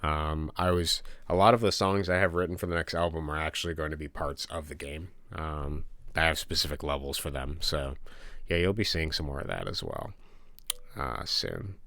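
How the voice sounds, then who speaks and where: A man's voice: extremely low pitch, normal speed, gravelly, no echo. A man streaming from his home office, chatting casually with viewers and sniffling a little from a cold. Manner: relaxed, rambling, reads chat aloud and answers. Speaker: game developer streamer. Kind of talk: casual livestream chat.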